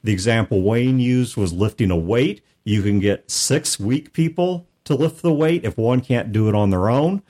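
A man's voice: mid-range voice, lively intonation, moderate pace, hardly any pauses, dark tone. A man co-hosting a gaming talk show, laying out ideas step by step in casual chat. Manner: methodical, lays out ideas with examples. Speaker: podcast co-host.

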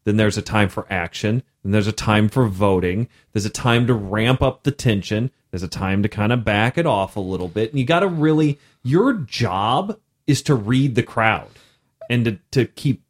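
A man co-hosting a casual podcast chat about running games, with a loud voice that projects and an energetic, laughing manner. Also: fairly low pitch, moderate pace. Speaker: podcast co-host and game master.

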